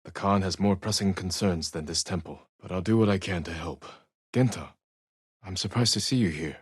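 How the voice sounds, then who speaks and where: A man playing a stoic, honorable samurai warrior in a video game, husky and measured, with terse, solemn delivery. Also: deep voice, no room sound, studio quality. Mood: calm, composed. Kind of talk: video game dramatic voice acting.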